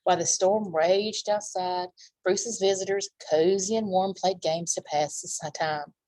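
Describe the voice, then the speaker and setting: A woman with a husky voice reading a picture book aloud to young children, pausing for simple asides about the pictures. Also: on the low side, steady tone, a little mellow, no echo, low-quality recording. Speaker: children's library storytime reader.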